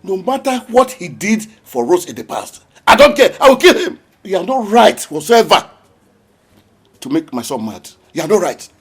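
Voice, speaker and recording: An actor playing a furious, grief-stricken father who shouts accusations at his brother. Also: high voice, very animated, moderate pace, slightly rough, exaggerated voice. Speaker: father in a village drama.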